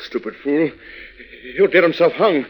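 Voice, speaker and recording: Casting: anxious crooked father, middle-aged, highish swooping voice, old radio drama with faint noise. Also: lively intonation, measured pace, muffled recording. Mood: agitated. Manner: agitated, blaming. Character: scheming, anxious father and criminal brother. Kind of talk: 1940s radio acting. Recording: noisy vintage radio recording.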